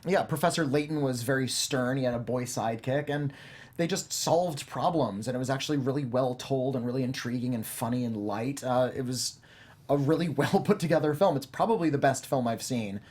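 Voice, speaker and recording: A man's voice: medium pitch, fairly quick, darkish tone, breathy edge. A man hosts a casual movie discussion show in a middle-register voice. He is talkative, laying out his points as explanations to the panel. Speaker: host of a film discussion show. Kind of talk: casual film review chat.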